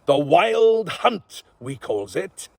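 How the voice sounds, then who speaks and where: A man voicing a sly, genial male underworld fairy lord, slow and knowing. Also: fairly high pitch, very animated, vocal fry, no room sound, pitched above the performer's own voice, heavily acted.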